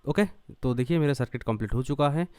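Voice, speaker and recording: A man's voice: medium pitch, fast talker, a little mellow, clear, resonant tone, no echo. A man narrating a do-it-yourself tutorial step by step, mixing English terms and phrases into his speech. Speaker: electronics tutorial host.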